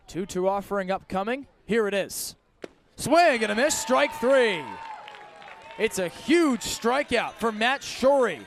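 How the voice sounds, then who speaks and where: High-pitched man calling baseball play-by-play. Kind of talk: live radio sports commentary.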